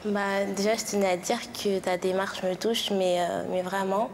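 A woman's voice: mid-range voice, fairly even intonation, moderate pace, a little hiss or hum. A woman in her twenties answering carefully and hesitantly in a TV studio, full of fillers. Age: 20s. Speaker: guest. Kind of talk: TV talk show reply. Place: large TV studio with audience. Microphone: lapel mic.

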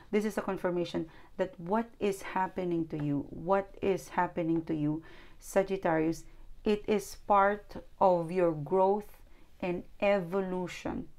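Gravelly-voiced woman reading tarot. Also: on the low side, darkish tone. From outside New Zealand. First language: not English. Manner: earnest, repetitive, coaching tone with restarts. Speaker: tarot reader. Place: indoors at a table.

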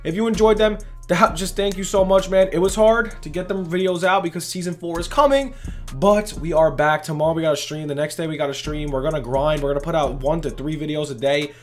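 A young man with a voice going hoarse, hosting a casual gaming video in hyped, rambling talk full of "literally" and "bro". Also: fairly high pitch, steady tone, a little fast, faint music behind. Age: young adult. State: losing his voice. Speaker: gaming channel host. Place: indoors at a gaming setup, face cam.

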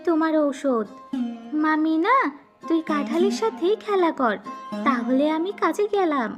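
Woman voicing a kind high-voiced mother fox. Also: animated, measured pace, soft background music. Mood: kindly, obliging. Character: kind, hardworking mother fox, trusting and helpful. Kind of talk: children's animated fable dialogue.

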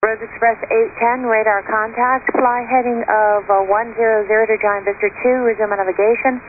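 A woman gives procedural air traffic instructions over a tinny radio channel. Her pitch stays very even and her delivery is matter-of-fact, with faint static around it. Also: normal speed. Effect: aviation radio.

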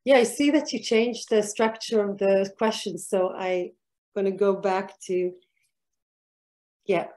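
A middle-aged woman from Israel speaks slowly and reflectively over a video call, pausing often with ums and restarting her sentences. Her voice is warm and dark in tone, at an ordinary middle pitch. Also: fairly even intonation. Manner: hesitant, many fillers and restarts. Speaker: artist guest. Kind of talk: reflective interview answers.

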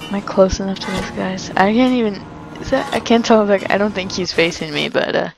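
A woman's voice: mid-range voice, a little fast, creaky, noisy background. A young woman casually commenting while she plays a video game, chatty and rambling with frequent laughs. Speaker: let's play host. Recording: commentary over game sounds.

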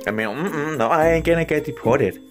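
A man's voice: fairly high pitch, fairly quick, over music. A young man with a Mexican American accent, chatting jokily and rambling to the camera in his bedroom, filmed on a phone at arm's length. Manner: rambling, joking, filler-heavy. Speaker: vlogger. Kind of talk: comedic vlog chat. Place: small cluttered bedroom, indoors.

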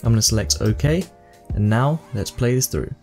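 A young man hosting a video tutorial, explaining software steps one by one into a close microphone. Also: mid-range voice, expressive, moderate pace, soft background music. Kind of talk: step-by-step software tutorial narration.

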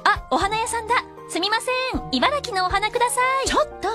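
A woman's voice: very high-pitched, animated, a little fast, music in the background. A woman playing a perky female character in a radio advert skit about shopping.

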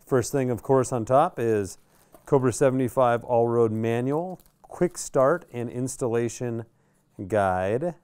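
A middle-aged man with an American accent and a mid-pitched voice gives casual product commentary. He is laid-back and conversational, talking through his thoughts as he handles things at a table. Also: slow pace, darkish tone, smooth. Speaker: product reviewer and presenter. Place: indoor radio workshop.

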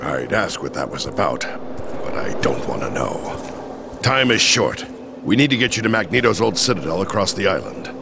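Gruff gravelly cyborg soldier. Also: male voice, deep voice, sing-song, moderate pace, heavy background noise, exaggerated voice. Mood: impatient. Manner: stern, impatient. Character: gruff, exasperated middle-aged cyborg soldier from the future. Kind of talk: video game cutscene acting. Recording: game music behind the voice.

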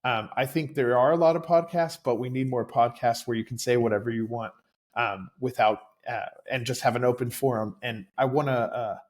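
An American man with a medium-pitched voice answers as an interview guest. He is conversational but measured, speaking earnestly like a local candidate making his case. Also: fairly even intonation.

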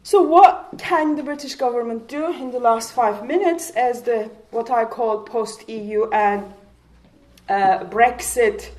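A woman's voice: on the high side, darkish tone. Impassioned woman, Kurdish native speaker. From Turkey. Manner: impassioned, rhetorical questions, frequent restarts. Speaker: panel speaker. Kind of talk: public panel talk. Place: community hall, panel table. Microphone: handheld mic.

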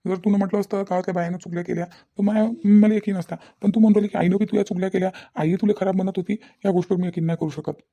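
A woman's voice: mid-range voice, steady tone, quick pace, hardly any pauses, mellow, lo-fi sound, natural voice. A woman voicing a young husband in a cartoon family drama, firm and disbelieving. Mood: defensive. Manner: firm, repeats denials. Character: mother-defending young husband, insistent and disbelieving. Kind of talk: Marathi cartoon family comedy-drama acting.

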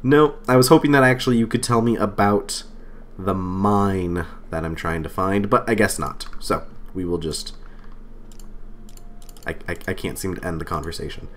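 A man's voice: sits low, moderate pace, a little hiss or hum. A man getting over a cold, chatting casually and thinking aloud as he plays through a game, with fillers and small laughs at himself. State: recovering from a cold. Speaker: let's play host. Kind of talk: casual gameplay commentary. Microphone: desk microphone.

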